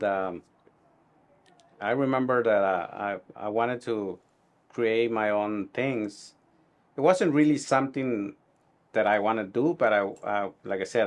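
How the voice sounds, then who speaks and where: A middle-aged man from Guatemala with a Guatemalan Spanish accent, answering interview questions in a halting, reflective way. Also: on the low side. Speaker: tailor being interviewed.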